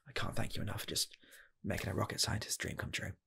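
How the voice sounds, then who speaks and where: A man playing an earnest rocket scientist applying for a fast food job, in a soft, half-whispered ASMR roleplay voice that rambles and restarts. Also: low voice, steady tone, creaky, a little hiss or hum, heavily acted. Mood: delighted. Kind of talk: ASMR personal attention roleplay.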